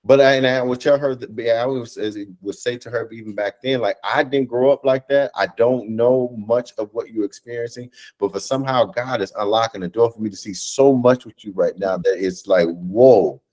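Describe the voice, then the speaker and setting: An American man in his thirties speaking earnestly as an interview guest on a video call, halting and repeating phrases for emphasis. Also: medium pitch, a little mellow, poor audio. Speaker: guest, stage play cast or crew member. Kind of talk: reflective conversational interview. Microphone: video call microphone.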